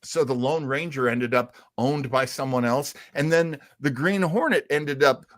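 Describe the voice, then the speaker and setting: Measured, slow-paced man hosting. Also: mid-range voice, fairly bright tone. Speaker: co-host. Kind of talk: live radio-history talk show, storytelling.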